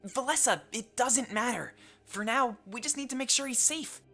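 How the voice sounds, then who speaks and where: A woman's voice: fairly high pitch, normal speed, pushed and bright, soft background music. A woman playing a blunt, protective, spirited young furry companion in a visual novel game.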